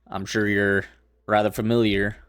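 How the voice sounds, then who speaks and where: A man playing a tabletop roleplaying game, improvising his character's lines in a measured, deliberate way, in short phrases. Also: on the low side, flat delivery, fairly bright tone, no echo, studio quality.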